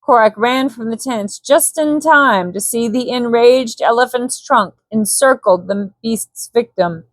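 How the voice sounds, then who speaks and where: High-voiced woman narrating a story. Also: a little slow, few pauses, no echo. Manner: calm. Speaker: audiobook narrator. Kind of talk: scripted bedtime story narration.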